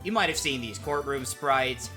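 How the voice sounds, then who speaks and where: A man's voice: medium pitch, moderate pace, loud music behind the voice. A man hosting a casual scripted video essay, with a joking, sweary, self-interrupting manner.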